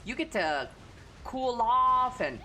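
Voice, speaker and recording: A woman's voice: a little high, big pitch swings, slow, sharp tone, a little hiss or hum. A woman with a Texan Southern accent chatting casually and crudely with her audience while streaming a game. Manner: crude, profane, laid-back. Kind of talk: casual gaming stream chat.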